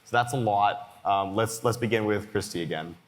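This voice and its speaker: A man in his twenties from the Boston area moderating a conference panel, halting with many fillers. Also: lowish voice. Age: 20s. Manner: hesitant, many fillers and restarts. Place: conference hall. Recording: long hall reverb.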